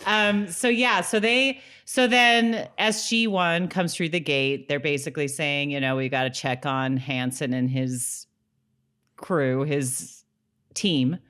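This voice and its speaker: A woman's voice: sits low, steady tone, slow, fairly bright tone, smooth. A woman co-hosting a podcast, recapping an episode in a casual, conversational storytelling way. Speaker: podcast co-host.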